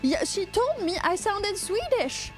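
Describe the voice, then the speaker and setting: A woman's voice: very high voice, very animated, measured pace, faint music behind. A woman from Quebec with a Quebecois accent, co-hosting a casual live podcast, rambling and joking as she reads out chat comments. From Quebec, Canada. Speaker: podcast co-host.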